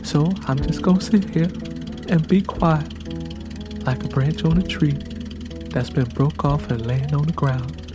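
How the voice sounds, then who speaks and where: A man's voice: on the high side, fairly even intonation, unhurried, long pauses, music in the background, close to an everyday voice. A man voicing a hurt, sullen young pregnant woman with a Southern US accent in an audiobook, muttering and resigned.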